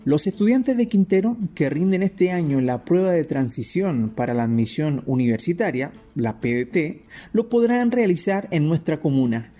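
A man reads a news report in a mellow, soft-edged voice that sits a little high. Light music plays behind him. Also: expressive, moderate pace, hardly any pauses. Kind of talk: scripted news narration.

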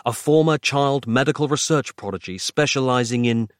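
A man's voice: medium pitch, moderate pace, dry recording, clean studio sound, close to an everyday voice. A man voicing a grave, self-important male writer hero in a thriller audiobook, with a drawling, grim delivery. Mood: earnest, pompous.

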